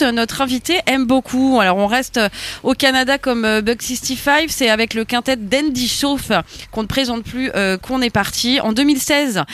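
A woman's voice: on the high side, a bit bright, no echo. Playful woman hosting casual music radio. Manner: playful, informal, teasing. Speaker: radio host and interviewer.

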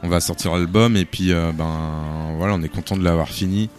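A man's voice: sits low, fairly even intonation, a little fast. A man, a musician guest, chatting casually in a radio interview in a laid-back, hesitant, slangy way. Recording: faint music behind the voice. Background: music playing quietly.

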